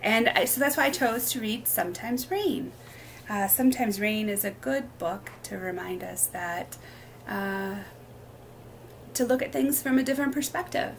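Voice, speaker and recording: A woman hosting a children's story time, reading a picture book aloud expressively in short, deliberate phrases. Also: mid-range voice, creaky, a little hiss or hum.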